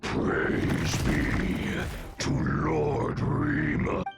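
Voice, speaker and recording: Ancient menacing game creature, gravelly, archaic, wildly swooping, very slow. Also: male voice, medium pitch, very animated, over loud music, full character voice. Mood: menacing zeal. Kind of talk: video game voice acting.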